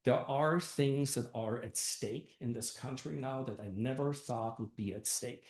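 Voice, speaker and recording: A man's voice: medium pitch, unhurried, darkish tone. An older man who grew up in Austria and Germany, a first-time council candidate speaking earnestly at a community meeting, with many fillers. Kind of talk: campaign speech at a meeting. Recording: echoey room.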